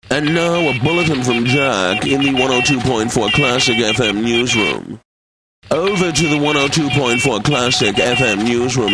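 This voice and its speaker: An actor playing a pompous, drily witty classical radio DJ in a video game, with formal, over-polite, theatrical announcing. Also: male voice, mid-range voice, poor audio.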